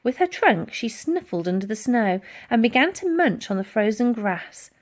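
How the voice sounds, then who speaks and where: A woman reading a children's storybook aloud as scripted, expressive narration. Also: a little high, moderate pace. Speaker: storybook reader.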